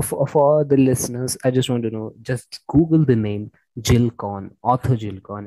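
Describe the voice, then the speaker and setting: A man's voice: fairly low pitch, a little mellow, no room sound, rough recording. A man hosting a conversational interview, often repeating his words and phrases as he goes. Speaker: podcast host interviewing a guest.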